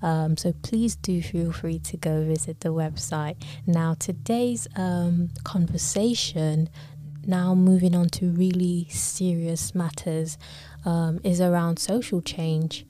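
Woman from Nigeria, solo podcast host, slow and halting, mellow low voice, drifts into whispers. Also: steady tone. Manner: hesitant, many pauses and fillers.

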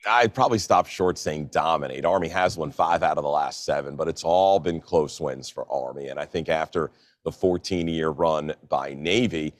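A man's voice: rumbling low, moderate pace, no room sound, studio quality. An American man from New Jersey, a sports broadcaster giving conversational analysis as an interview guest. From New Jersey, United States.

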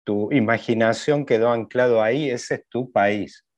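A man's voice: medium pitch, a little slow. Argentine interviewer guy, lots of ehs, dull lo-fi sound. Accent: Argentine Spanish. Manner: hesitant, many fillers while building questions. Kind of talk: interview conversation.

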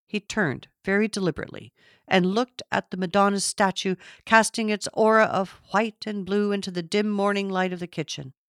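A woman's voice: mid-range voice, fairly even intonation, normal speed, no room sound, studio quality. A woman narrating a literary story from a script. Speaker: audiobook narrator.

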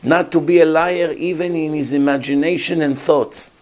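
A man's voice: fairly high pitch, fairly even intonation, moderate pace, darkish tone. Emphatic man preaching. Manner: emphatic, rhetorical questions to the audience. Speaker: rabbi giving a talk. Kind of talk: sermon.

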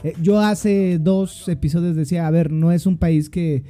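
Young chilango guy podcasting, slangy and joking, kinda tipsy, highish but super mellow voice. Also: steady tone, moderate pace. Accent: Mexico City Spanish. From Mexico. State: a bit tipsy. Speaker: podcast host. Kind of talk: casual podcast chat.

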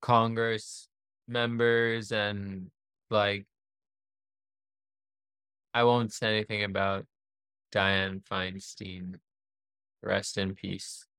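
A man's voice: on the low side, level tone, extremely slow, long pauses, a bit bright, smooth, no echo. Male podcast host, clean resonant tone, dry recording, loose conversational delivery with meandering, roundabout questions. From born in the US, raised in Pakistan. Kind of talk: casual conversational interviewing.

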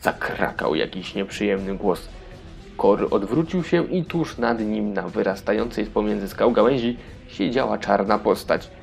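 Low, slightly gravelly male storyteller. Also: normal speed, soft background music. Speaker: narrator. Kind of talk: scripted children's fairy tale narration.

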